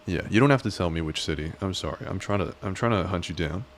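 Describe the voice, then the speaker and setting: Male streamer, very low voice, rambling self-mocking banter, faint noise under it. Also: fast talker. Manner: rambling, joking, self-deprecating. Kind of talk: casual live-stream chat.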